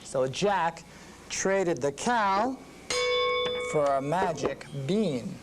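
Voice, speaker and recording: A woman narrating a children's story in simple, deliberate phrases, with musical instruments behind her. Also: deep voice, lively intonation, very noisy.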